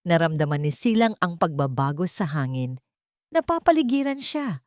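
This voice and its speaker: A woman's voice: sits low, very animated, moderate pace, no echo, clean studio sound. A woman with a Filipino accent reading a scripted dramatic story as its narrator.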